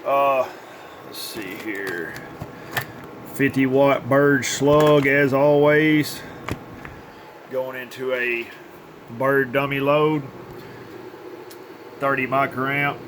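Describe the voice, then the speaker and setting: A man's voice: mid-range voice, steady tone, very slow, long pauses, noisy background. A man giving an informal, hands-on update as a radio repair technician, with plain, folksy phrasing. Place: indoors at a repair workbench.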